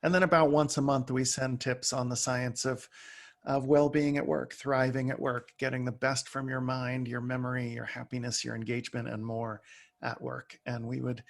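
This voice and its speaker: A man with a noticeably creaky voice speaks as an expert guest on a podcast. He explains in a conversational way, sometimes acting out small everyday examples. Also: mid-range voice, little pitch movement, moderate pace.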